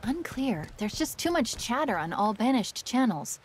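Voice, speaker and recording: A woman's voice: fairly high pitch, moderate pace, husky. A woman playing a young, curious female AI companion in a video game, speaking earnestly and expressively. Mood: briefing, alert. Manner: inquisitive, expressive, articulate. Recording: loud music behind the voice.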